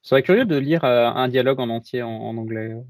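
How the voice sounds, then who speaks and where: A man's voice: mid-range voice, quick pace, dry recording, clean studio sound. A man co-hosting a podcast in casual chat, easygoing and hesitant, laughing a lot. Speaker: podcast co-host.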